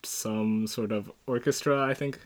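A man's voice: on the low side, fairly even intonation, a little slow. Playful young Canadian man interviewing. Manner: playful, laughs often, quotes himself. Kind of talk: casual interview chat.

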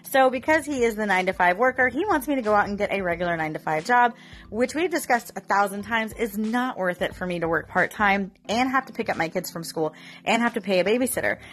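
Woman, vlogger, casual and candid, fillers, getting over a cough, soft music behind. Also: medium pitch, lively intonation, quick pace. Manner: chatty, candid, with frequent fillers. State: recovering from a cough. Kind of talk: casual chat to camera.

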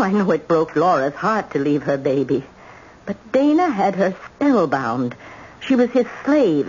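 A woman's voice: on the low side, a little mellow, husky. An actress playing a tough, proud older grandmother in an old-time radio drama, with dramatic, emphatic delivery. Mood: bitter. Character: tough, proud widowed grandmother confessing family tragedy. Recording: muffled, noisy old radio recording.

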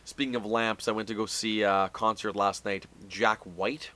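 A man's voice: fairly low pitch, flat delivery, normal speed, pushed and bright, no echo. A man co-hosting a casual chat, recapping events in a rambling way with plenty of fillers. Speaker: podcast co-host.

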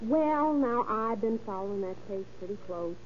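A woman's voice: medium pitch, lively intonation, normal speed, lightly acted. An actress playing a chatty, nosy young shopgirl who gushes and rambles in 1930s radio style. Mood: gossipy, eager. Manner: gushing, gossipy, rambling. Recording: muffled, hissy old radio recording.

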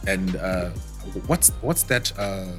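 A man, a podcast guest and DJ, chatting casually in a relaxed, rambling way that often stumbles over words. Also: sits low, takes it slowly, music in the background. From Zimbabwe. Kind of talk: casual chat.

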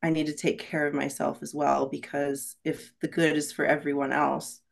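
Low-voiced woman, reflective and earnest. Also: flat delivery, normal speed, creaky. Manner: reflective and earnest, frequent "right?" tags. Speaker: podcast guest, rock climbing guide. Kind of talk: candid conversational interview.

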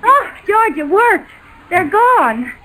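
Playful wheedling wife, high voice, slow delivery, theatrical, vintage radio comedy. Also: female voice, big pitch swings, bright, forceful tone, exaggerated voice. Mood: chirpy. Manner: theatrical, coaxing. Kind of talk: 1940s radio comedy acting. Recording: noisy 1940s radio recording.

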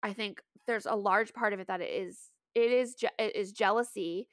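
Smooth-voiced American woman, dry close sound. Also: medium pitch, moderate pace, dry recording. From Maine, USA. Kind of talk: casual chat.

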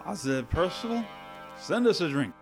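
A man's voice: on the high side, very animated, moderate pace, over loud music. Man playing a boozy, insolent hotel guest. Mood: mocking. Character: drunken, stubborn, mocking male hotel guest. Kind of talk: radio drama acting.

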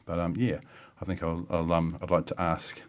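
A man chairing a live meeting on a radio show, speaking haltingly and repeating his words. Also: low-pitched, level tone.

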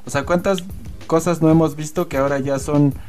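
A man's voice: a little high, steady tone, fairly quick, darkish tone, faint music behind. A man with a Mexican Spanish accent, co-hosting a casual chat, hesitant and filler-heavy, laughing easily. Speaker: co-host. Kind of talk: casual banter.

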